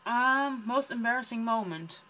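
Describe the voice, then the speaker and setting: A woman's voice: fairly high pitch, expressive, unhurried, bright, forceful tone, slight background noise, rough recording. A young woman from the Netherlands with a Dutch accent, chatting casually through a book vlog tag with lots of ums and rambling asides. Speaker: book vlogger. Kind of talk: casual chat answering tag questions.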